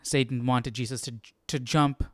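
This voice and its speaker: A man hosting a Bible study livestream, thinking aloud in a casual, informal way and often halting to search for words. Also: medium pitch, fairly even intonation, no echo, clean studio sound.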